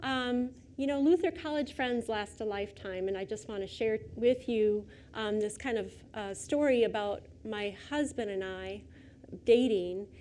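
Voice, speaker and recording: A soft-spoken middle-aged woman giving a public lecture in a lecture hall, chatty and personal. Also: mid-range voice, measured pace, a little hiss or hum. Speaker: guest lecturer. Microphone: lecture microphone.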